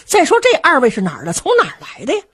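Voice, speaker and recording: Brief: sly loyal steward, hushed and cautious, from a woman storyteller. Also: high-pitched, wildly swooping pitch, racing, breathy edge, voice pushed up, heavily acted. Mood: wary, hushed. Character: loyal male palace steward, wary and sly. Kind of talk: traditional storytelling.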